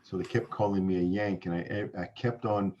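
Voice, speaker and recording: A middle-aged Canadian man with a Canadian accent, answering seminar questions over a video call, halting with frequent restarts. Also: low voice, steady tone, a little mellow. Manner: halting, many restarts and fillers. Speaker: company founder presenting. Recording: dull lo-fi video call audio.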